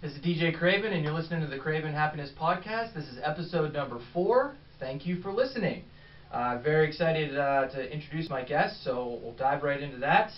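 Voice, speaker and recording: A man's voice: a little high, lively intonation, a little fast. A man hosting a podcast, upbeat and encouraging as he interviews a guest, with a few ums. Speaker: podcast host. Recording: echoey room, distant mic, dull sound, faint noise.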